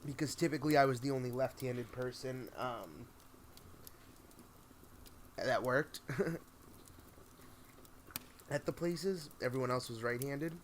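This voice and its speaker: Rambling man streaming over steady background noise. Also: mid-range voice. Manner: rambling, halting, thinking out loud. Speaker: host of a spooky story reading stream. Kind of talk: casual livestream chat.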